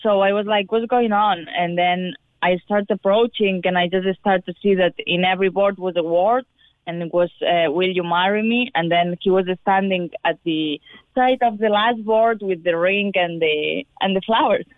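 A woman's voice: medium pitch, moderate pace, no echo. A woman from Spain with a Spanish accent, a native Spanish speaker, talking as a caller in hesitant, simple English full of fillers. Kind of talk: phone interview. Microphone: telephone. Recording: phone line.

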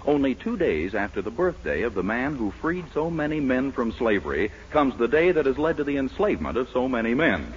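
A man's voice: mid-range voice, sing-song, fairly quick, hardly any pauses, slight background noise. A man working as a radio announcer and narrator, with polished, theatrical 1940s scripted delivery. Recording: muffled old radio broadcast recording.

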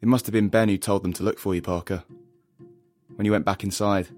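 An actor plays a protective male rebel in a science fiction audio drama, his voice on the low side with music underneath. He speaks in a steady, understated, earnest way. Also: brisk, lightly acted. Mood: serious. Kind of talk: naturalistic audio drama acting.

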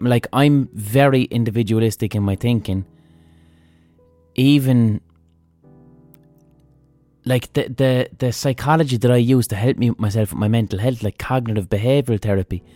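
Irish male podcast host, mellow, dry close sound, blunt and profane, casual monologue. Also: mid-range voice, dry recording. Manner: blunt and profane, thinking aloud.

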